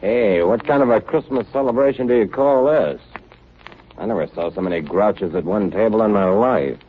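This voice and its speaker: An actor playing a hearty, teasing, blunt ship's officer in 1930s radio drama style. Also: male voice, lowish voice, normal speed, husky. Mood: teasing, grumbling. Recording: tinny, noisy 1930s radio recording.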